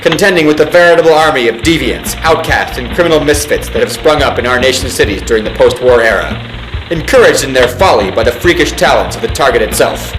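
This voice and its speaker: A man's voice: medium pitch, lively intonation, reverberant, natural voice, poor audio. A man playing a solemn military narrator, reading a mock government film in a formal, pompous manner. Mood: grave, officious. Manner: formal, pompous, deliberate enunciation. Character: solemn male military briefing narrator, grave and officious. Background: music.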